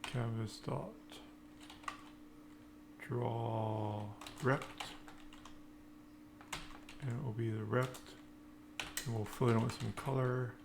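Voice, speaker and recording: A man giving an informal live-coding tutorial, thinking aloud in halting, piecemeal phrases. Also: medium pitch, fairly even intonation, creaky. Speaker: programming tutorial instructor. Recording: noticeable background noise, keyboard typing.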